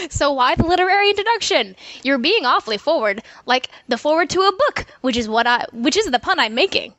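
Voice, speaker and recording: Woman, high-pitched, bright, dry recording, wry, playful, casual podcast delivery. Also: animated, normal speed, no echo, dull audio. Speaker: podcast co-host. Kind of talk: casual chat and scripted skit read live.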